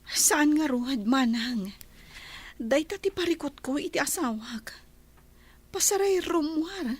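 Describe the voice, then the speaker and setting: A woman's voice: high-pitched, lively intonation, slow pace. An actress with an Ilocano accent playing an earnest, pleading young wife of a rich man. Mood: worried.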